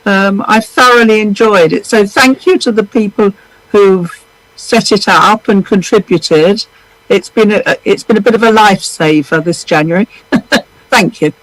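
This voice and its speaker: A woman with a deep voice calling in to a live show over a video call, speaking earnestly with frequent pauses. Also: a bit bright, dry recording, rough recording. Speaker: viewer calling in.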